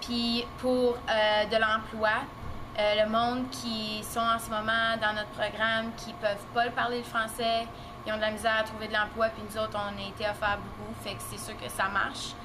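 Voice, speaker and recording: A young woman talks in a relaxed, colloquial way, her voice pitched a little high. A steady noisy ambience sits under her speech. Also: normal speed. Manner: casual, colloquial. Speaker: program student. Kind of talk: unscripted testimonial.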